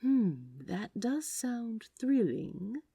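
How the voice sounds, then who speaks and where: Woman playing a prim, theatrical, eager gentleman. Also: on the high side, very animated, extremely slow, gravelly, husky, no echo, studio quality, voice pushed up, full character voice. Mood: playfully theatrical. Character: prim, polite, eager male office worker, playfully theatrical.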